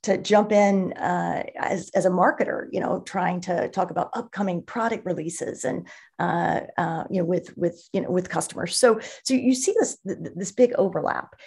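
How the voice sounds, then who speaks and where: A woman's voice: on the low side, moderate pace, creaky, a little mellow, slightly rough. A woman speaking as an interview guest, explaining things conversationally and enthusiastically, repeating words for emphasis. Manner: emphatic word repetition. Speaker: interview guest, marketing executive.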